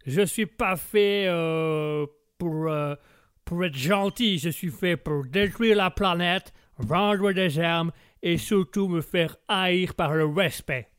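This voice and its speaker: A man's voice: sits high, fairly bright tone, smooth, no echo, studio quality. A man voicing a brash, arrogant American man who talks with a put-on American accent and drops English words into his speech. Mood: boastful. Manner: swaggering, slow drawl, drops English words.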